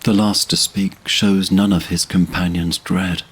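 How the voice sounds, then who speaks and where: A man's voice: low-pitched, flat delivery, a little slow, slightly rough. A man giving solemn scripted narration for a fantasy audio drama. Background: orchestral string music.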